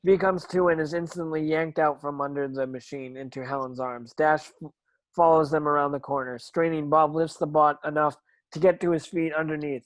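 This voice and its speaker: A man's voice: on the high side, dry recording, rough recording. A man narrating screen action from a script as a stage directions reader, now and then stumbling over words.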